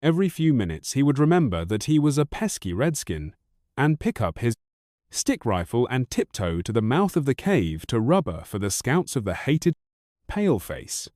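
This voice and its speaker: Lively, wry male storyteller. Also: mid-range voice, normal speed, dry recording, studio quality. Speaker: audiobook narrator. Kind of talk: expressive storytelling narration.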